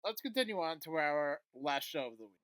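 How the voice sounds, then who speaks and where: Bright-voiced podcast co-host. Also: medium pitch, lively intonation, a little fast, no room sound. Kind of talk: casual TV review chat.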